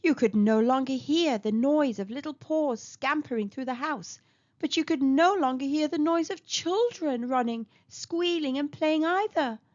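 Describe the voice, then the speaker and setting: A woman reading a children's story aloud in an expressive storytelling manner. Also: sits high, a little slow. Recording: dull lo-fi recording.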